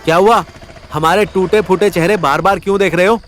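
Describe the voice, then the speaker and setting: A man's voice: high-pitched, steady tone, fairly quick, a little hiss or hum, close to an everyday voice. An actor playing a level-headed young man who takes charge, firm and reassuring, in an audio drama. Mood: dry. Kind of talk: audio drama acting in Hindi with English words. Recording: music bed behind voice.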